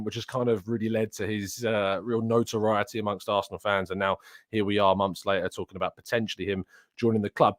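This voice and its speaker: A man's voice: medium pitch, dry recording, clean studio sound. A man hosting a football show, speaking in a chatty, conversational presenting style to his viewers and guest.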